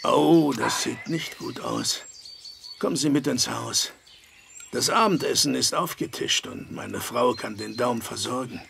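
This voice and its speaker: An actor playing a strict, troubled farmer and head of household, formal and plain-spoken, in a German audio drama. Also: male voice, medium pitch, unhurried, vocal fry, fairly bright tone, a little hiss or hum. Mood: strict, grave.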